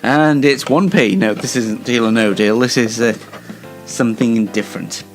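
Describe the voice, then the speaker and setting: A man's voice: medium pitch, moderate pace, soft background music. A man hosting an amateur radio show in a casual, rambling way, hesitant and wryly self-deprecating.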